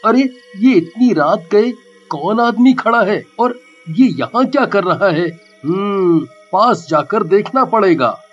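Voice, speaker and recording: A man doing Kartik, a nervous young market seller in an animated storybook, with a very high, strained voice. He draws his words out slowly, and the voice track sounds dull and lo-fi under faint background music. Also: husky, full character voice. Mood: puzzled. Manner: fearful exclamations, slow drawn-out cries. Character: Kartik, young male thief-market seller, jittery and stubborn.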